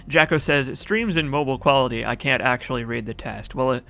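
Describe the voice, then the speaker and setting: A man's voice: medium pitch, a little fast, gravelly, bright, forceful tone. A young man casually chatting through a game stream, reading out chat comments and rambling with fillers. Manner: chatty, rambling, reads chat aloud, laughs often.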